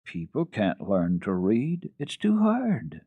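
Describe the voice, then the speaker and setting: A man's voice: fairly low pitch, wildly swooping pitch, slow, slightly rough, exaggerated voice. Man reading as an earnest little girl, phone-like sound. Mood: resigned. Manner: plaintive, resigned. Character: discouraged little girl, earnest, naive, sighing. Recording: narrow-band, echoey old radio recording.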